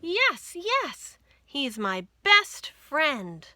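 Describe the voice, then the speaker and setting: A woman's voice: high voice, huge pitch swings, extremely slow, pushed and bright, dry recording, voice pushed up, full character voice. A woman voicing an affectionate little girl, eager and tender, in a children's storybook. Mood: excited. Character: affectionate little girl, fond and motherly toward her bear. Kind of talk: children's storybook read-aloud.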